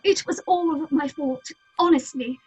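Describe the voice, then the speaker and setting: An actress playing a timid, guilt-ridden young member of a theatre troupe, earnest and apologetic, in an audio horror drama. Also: female voice, high-pitched, unhurried, darkish tone, faint music behind. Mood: guilty, earnest.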